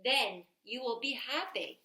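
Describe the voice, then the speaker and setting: A woman voicing a loving, generous female apple tree from a children's storybook, gentle and eager to give. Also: fairly high pitch, lively intonation, unhurried, creaky, heavily acted. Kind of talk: read-aloud children's storybook. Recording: roomy, echoey home-style recording.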